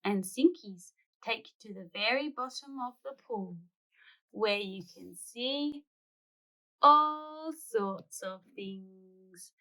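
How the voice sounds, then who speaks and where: A woman's voice: on the high side, takes it slowly, bright, forceful tone, rough recording. A woman hosting a read-aloud, narrating a children's storybook expressively.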